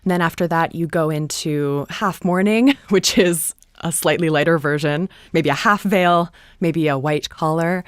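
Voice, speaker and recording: A woman's voice: deep voice, unhurried, hardly any pauses, clean tone, dry recording, studio quality. A woman playwright explaining her work thoughtfully in a panel discussion. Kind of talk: panel discussion about a play.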